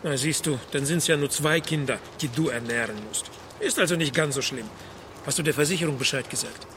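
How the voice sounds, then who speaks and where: An actor playing a sardonic, unflappable private detective in a German radio drama, dry and ironic. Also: male voice, a little high, fairly quick, lightly acted. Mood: dryly practical. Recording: faint background noise.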